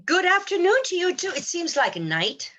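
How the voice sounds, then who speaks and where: A woman co-hosting a podcast, giving a reflective spiritual talk in an earnest, measured, philosophizing manner. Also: extremely high pitch, big pitch swings, a bit bright. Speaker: podcast co-host.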